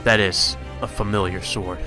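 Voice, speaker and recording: A man's voice: low voice, fairly even intonation, normal speed, pushed and bright, faint music behind. A man reading a visual novel aloud as narration, in the manner of a let's play host.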